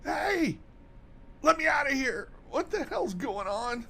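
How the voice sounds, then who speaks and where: Frantic creaky man with wildly swinging pitch acted. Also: big pitch swings, slow, bright, forceful tone, exaggerated voice. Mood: defiant. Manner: frantic, swinging from bluster to pleading. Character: panicked, belligerent killer held captive. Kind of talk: radio mystery theatre acting.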